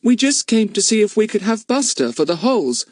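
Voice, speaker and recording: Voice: medium pitch, big pitch swings, normal speed, no room sound, clean studio sound, voice pushed up. A narrator voicing an eager, talkative boy in a storybook. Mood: flustered.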